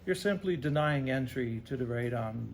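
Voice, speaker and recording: Gravelly-edged man explaining as an expert. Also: mid-range voice, animated, moderate pace, slight background noise. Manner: explains in simple terms, often tags sentences with "right?". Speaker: radon remediation specialist being interviewed. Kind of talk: explanatory interview answers.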